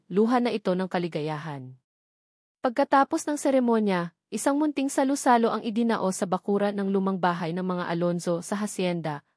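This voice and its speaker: A woman with a Filipino accent reads a scripted love story in an expressive, sentimental storytelling manner. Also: medium pitch, dry recording, studio quality. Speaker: story narrator.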